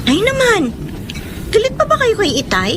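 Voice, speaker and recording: A woman's voice: extremely high pitch, wildly swooping pitch, normal speed, noisy background, exaggerated voice. A woman playing a sweet, polite young daughter who pleads in a Tagalog radio drama. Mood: pleading.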